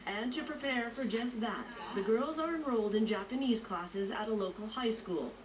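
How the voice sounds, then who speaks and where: A woman reporter delivering scripted news narration in a measured, even way. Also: on the high side, a crowd in the background. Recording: distant voice with noticeable noise.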